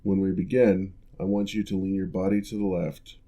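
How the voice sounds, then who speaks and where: Man playing an orc ex-general, patient physical therapist, low pitch, gravelly, calm measured ASMR delivery. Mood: instructive. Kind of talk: ASMR roleplay.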